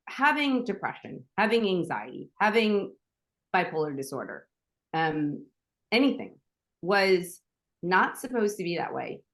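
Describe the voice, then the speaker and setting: A woman from Staten Island, a podcast guest chatting casually and acting out the people in her stories. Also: medium pitch. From Staten Island, New York. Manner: animated storyteller, acts out quoted dialogue, frequent restarts. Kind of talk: casual conversational interview.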